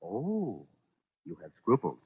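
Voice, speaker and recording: An actor playing a shrewd, sardonic amateur detective in a 1940s radio drama, probing and articulate with dry irony. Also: male voice, lowish voice, wildly swooping pitch, takes it slowly, slightly rough, heavily acted. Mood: cool, sardonic. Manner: probing, dryly ironic, articulate. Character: shrewd, sardonic amateur detective confronting a guilty young woman. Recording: muffled, band-limited vintage radio recording.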